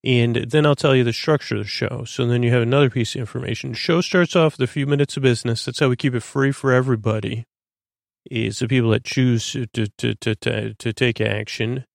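Male podcast host for bedtime listening: creaky, close dry sound, lulling, meandering and halting. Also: mid-range voice, fairly even intonation, dry recording. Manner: meandering, stammering, trails into tangents; soothing, lulling delivery. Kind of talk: rambling bedtime monologue.

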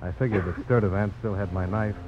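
A low, grave male voice on a noisy, muffled old broadcast. A man plays a resolute frontier adventurer, weary and earnest, speaking in measured lines in the manner of 1950s radio drama. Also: fairly even intonation, close to an everyday voice.

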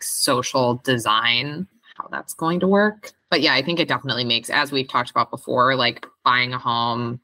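A woman's voice: extremely low pitch, sharp tone, no echo, low-quality recording. A woman co-hosting a podcast, chatting about the news in an informal, conversational way. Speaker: podcast co-host. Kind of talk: casual podcast news chat.

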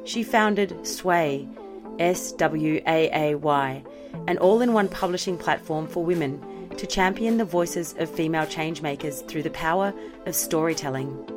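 A woman podcast host giving a measured, clearly read introduction. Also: sits low. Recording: music bed behind the voice. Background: music.

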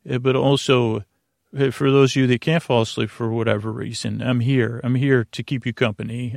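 Creaky-voiced man rambling in halting starts. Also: mid-range voice, no echo, clean studio sound. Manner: meandering, halting, self-interrupting with frequent stammered restarts. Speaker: podcast host. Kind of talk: rambling bedtime sleep podcast monologue.

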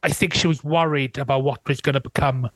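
A Welsh man talking as a guest historian in a relaxed interview, thoughtful and hedging, often restarting his sentences. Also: medium pitch, a little fast, a little hiss or hum. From Wales. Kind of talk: casual interview conversation.

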